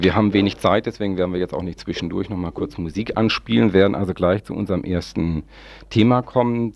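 A man with a deep voice and plenty of vocal fry presents an informal radio magazine. He speaks into a studio microphone, phrasing things loosely and amending himself along the way. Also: a little mellow, no room sound. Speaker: radio host. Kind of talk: informal magazine show introduction and interview.

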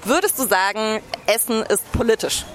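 A woman's voice: on the high side, expressive, moderate pace, fairly bright tone, a faint crowd. A woman asking questions as a street interviewer at an outdoor market. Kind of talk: vox pop interview questions.

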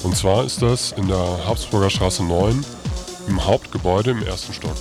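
A man presenting on radio, reading news and event listings in an informal, halting way. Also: sits low. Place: radio studio. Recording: music playing under the voice. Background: loud music.

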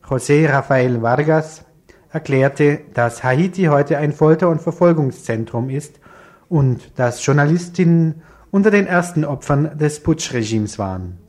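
A man's voice: on the high side, a little mellow. A man presenting radio news from a studio, reading scripted short reports.

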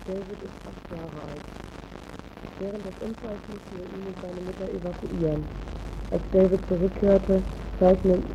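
A woman with a lowish voice reads a written account aloud. The sound is dull and lo-fi, with faint noise behind her. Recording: dull, faintly noisy archive radio tape.

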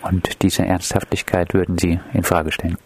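A man's voice: sits low, monotone, moderate pace, slightly rough. A man working as a radio interviewer, putting questions to a guest and presenting the programme.

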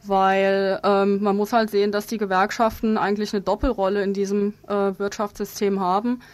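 A woman being interviewed as an activist guest, explaining her position in a halting, spontaneous way with frequent ums. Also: mid-range voice, level tone, no echo.

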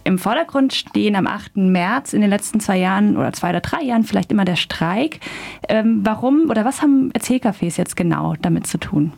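Gravelly-voiced woman radio host. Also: mid-range voice, animated, darkish tone. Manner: measured, scripted delivery. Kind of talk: radio report and studio interview. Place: radio studio.

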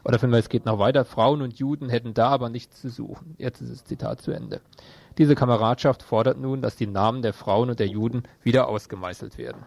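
A man presents radio news. His voice has a medium male pitch and a dry sound with almost no room around it. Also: fast talker, gravelly, a little mellow, no echo.

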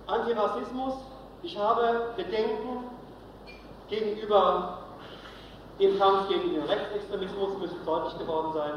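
High-voiced man, emphatic political lecture, repeated phrases, distant in a reverberant hall. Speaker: political speaker at a congress. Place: large hall, live speech. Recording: very echoey hall, distant, dull, faint noise.

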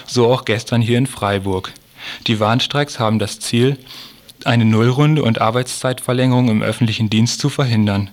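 A man presenting a scripted political report and interview on the radio. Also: medium pitch.

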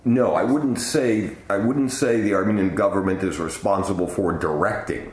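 A man giving a measured, deliberate interview answer in an analytical way. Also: low voice, a little mellow. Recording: roomy, echoing interview sound.

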